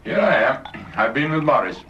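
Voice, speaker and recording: A British actor playing an affable, courteous family doctor in a radio drama, brisk and hearty. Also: male voice, mid-range voice, sing-song, muffled recording, slight background noise. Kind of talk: BBC radio drama acting.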